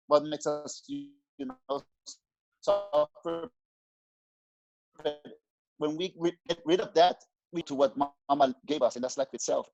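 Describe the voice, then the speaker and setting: Middle-aged man from Mexico, slightly high voice, earnest reflective guest, muffled lo-fi call sound. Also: darkish tone. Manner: earnest, searching for words, many restarts. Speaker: guest spiritual teacher. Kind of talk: reflective interview talk. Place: small room at home. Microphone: headset on video call. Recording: dull lo-fi call audio.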